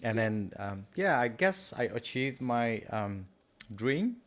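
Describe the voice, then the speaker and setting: A man's voice: mid-range voice, big pitch swings. Hesitant man in his thirties. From Nepal. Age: 30s. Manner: hesitant, halting, many pauses and fillers. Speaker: guest, artist and kids entertainer. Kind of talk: casual interview chat.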